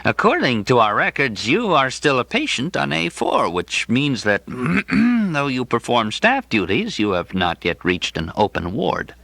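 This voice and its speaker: A man voicing an amiable, condescending old hospital director, ailing and distracted, who hems and haws and clears his throat in an audiobook. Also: medium pitch, wildly swooping pitch, bright, forceful tone, no echo, voice pushed up, exaggerated voice. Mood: distracted, officious. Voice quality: fruity cough, throat-clearing. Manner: halting, hemming, falsely genial.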